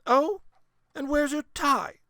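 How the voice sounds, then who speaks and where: A man's voice: very high voice, takes it slowly, sharp tone, no echo, studio quality, voice pushed up, heavily acted. A man voicing a stern, proud old grandmother in a children's storybook, haughty and emphatic. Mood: indignant surprise. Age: older.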